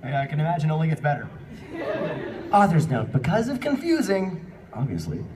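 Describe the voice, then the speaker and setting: A man's voice: a little high, big pitch swings, brisk. A man hosting a live comic fan fiction reading, narrating and breaking off for joking, sarcastic asides. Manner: joking, sarcastic asides between read lines. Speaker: panel host and narrator. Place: convention panel room. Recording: distant mic, noisy convention room.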